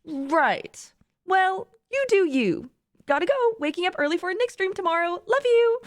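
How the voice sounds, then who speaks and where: A woman's voice: very high voice, big pitch swings, normal speed, no echo, clean studio sound, pitched above the performer's own voice. A woman voicing a teasing, blunt young woman in a story, with a sing-song mocking manner.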